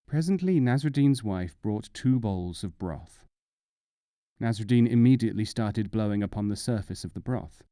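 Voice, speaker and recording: A man's voice: on the low side, animated, moderate pace, mellow, no room sound, clean studio sound. A man reading as an audiobook narrator, in scripted storybook narration.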